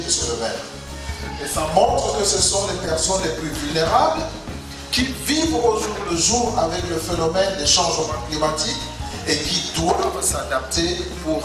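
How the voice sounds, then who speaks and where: A man from North Cameroon gives an earnest formal speech as a government representative, often repeating phrases and turning to address the mayor. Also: fairly high pitch. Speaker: government representative at a launch ceremony. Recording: echoey hall, distant mic, music behind.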